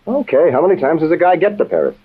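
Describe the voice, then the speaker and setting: An actor playing a brash, wisecracking American special agent in an old-time radio adventure, with slangy, cocky delivery on a muffled vintage recording. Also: male voice, on the high side, wildly swooping pitch, racing, breathy edge, heavily acted. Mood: flippant, cocky.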